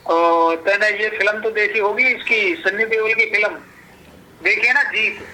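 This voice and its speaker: Man, extremely high sharp voice. Coarse, blunt, rambling caller on a noisy telephone line. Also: fairly even intonation, measured pace. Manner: blunt, coarse, self-justifying. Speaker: caller, confronted scammer. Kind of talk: rambling colloquial phone chat. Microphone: phone call.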